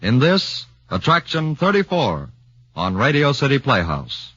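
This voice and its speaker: A man's voice: medium pitch, wildly swooping pitch, unhurried, fairly bright tone, breathy edge, no echo. A man announcing a radio drama with a formal, declamatory delivery. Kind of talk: formal broadcast introduction. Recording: dry, muffled 1940s radio broadcast.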